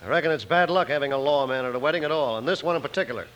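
A man's voice: fairly high pitch, rapid-fire, a bit bright, muffled recording, close to an everyday voice. A man playing a menacing, surly rancher villain in a western radio drama, curt and threatening. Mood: hostile, menacing. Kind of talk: 1950s western radio acting. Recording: noisy old radio recording.

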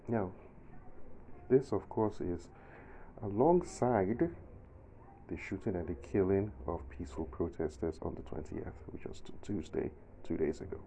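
A man's voice: low voice, measured pace, creaky, warm tone, dull audio, slight background noise. A man in his forties with a Nigerian accent, giving his own emphatic, indignant opinion in a monologue. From southern Nigeria. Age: 40s. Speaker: commentator.

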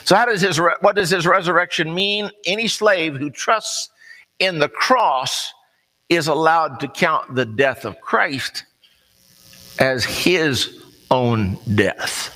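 An American man preaching a sermon in a folksy, conversational storytelling way, plain-spoken and pausing deliberately. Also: on the high side, bright, forceful tone. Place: large reverberant room, preaching to a congregation. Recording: long hall reverb.

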